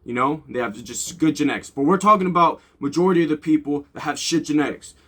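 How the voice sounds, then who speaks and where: A man's voice: on the high side, fast, pushed and bright. A young man giving casual, off-the-cuff motivational talk as a vlogger, rambling and informal with blunt swearing.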